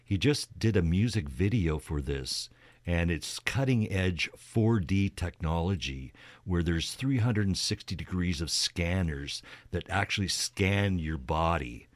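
Male radio co-host, deep voice, dry close sound, informal music talk with some hesitancy. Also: lively intonation, measured pace, no echo. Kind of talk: casual music chat.

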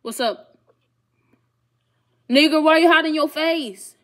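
Young woman streamer, blunt teasing slang-heavy banter, slightly high voice, small bedroom. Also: slow, a bit bright. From Ohio. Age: 20s. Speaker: streamer chatting with strangers on video calls. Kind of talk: casual chat.

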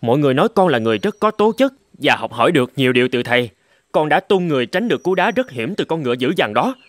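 A man voicing a proud, eager young sword student, earnest and quick to protest, in a cartoon. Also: mid-range voice, big pitch swings, dry recording, close to an everyday voice. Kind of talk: scripted cartoon dub.

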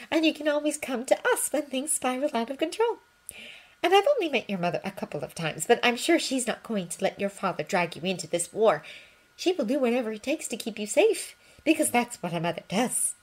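A woman voicing a protective, motherly older woman who speaks softly and soothingly. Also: on the high side, sing-song, a little fast, few pauses, pitched above the performer's own voice, heavily acted, rough recording. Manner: soft, soothing, reassuring.

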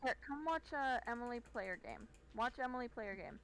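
A woman's voice: on the high side, expressive, a little fast, fairly bright tone, slight background noise. A young woman chatting casually in an improvised roleplay. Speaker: player in a Minecraft roleplay series.

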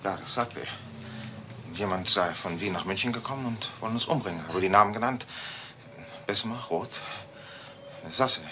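A man playing a shaken, evasive friend of a murder victim in a radio crime play, halting and repeating himself. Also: lowish voice, pushed and bright. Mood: uneasy. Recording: noisy old radio play recording.

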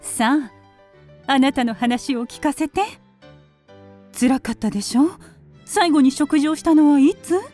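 A woman's voice: high voice, unhurried, pauses often, a little mellow, faint music behind. A woman playing an impulsive, vain upper-class young wife in a radio drama, coy and theatrical as she coaxes. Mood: eager, solicitous.

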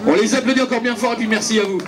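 A man's voice: very high voice, fairly even intonation, very fast. A man announcing a prize-giving outdoors at a motorbike race, speaking into a handheld mic and urging the crowd to applaud. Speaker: event announcer. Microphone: handheld microphone. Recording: crowd noise around. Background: crowd chatter.

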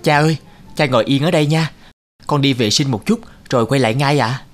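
A man plays a caring young son in a cartoon. The voice is medium in pitch, respectful and attentive, with music clearly behind it. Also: fairly quick, lightly acted. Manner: polite, attentive. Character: dutiful, caring young son of a blind father. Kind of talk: cartoon voice acting.

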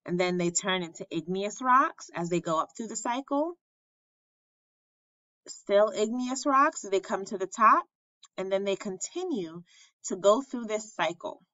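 A woman reads a children's lesson aloud at an unhurried pace, with a bright, forward tone. She enunciates each phrase deliberately like a schoolteacher. The recording is close and dry, slightly dull in fidelity. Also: medium pitch, animated, dry recording. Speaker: elementary school teacher reading aloud.

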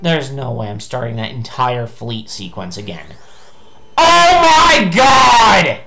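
A young man streams a game from a small room, ranting and cursing at it in a hoarse, gravelly voice. He speaks slowly and low, but his pitch leaps wildly whenever he gets frustrated. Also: big pitch swings, music in the background. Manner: ranting, swearing, frustrated outbursts. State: losing his voice. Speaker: gameplay streamer. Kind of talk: angry gameplay commentary.

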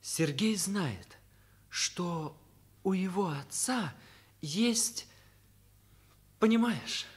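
A man's voice: sits high, expressive, drawn-out words, long pauses, exaggerated voice. An actor in a Soviet radio play playing a loyal, frank teenage schoolboy who argues insistently and reproachfully with his father. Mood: pleading. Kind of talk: Soviet radio drama acting.

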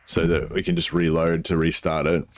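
Croaky deep-voiced streamer with headset. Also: male voice, monotone, normal speed. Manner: rambling, many ums and restarts. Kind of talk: casual gameplay commentary.

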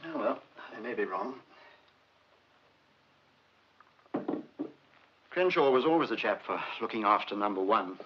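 A man's voice: fairly low pitch, lively intonation, fairly quick, a little hiss or hum, natural voice. An actor playing an urbane, sly British male investigator who questions politely but insistently in 1950s film style. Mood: chatty, feigning innocence. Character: probing, sly, urbane male investigator posing as chatty acquaintance. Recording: dull, muffled 1950s film soundtrack.

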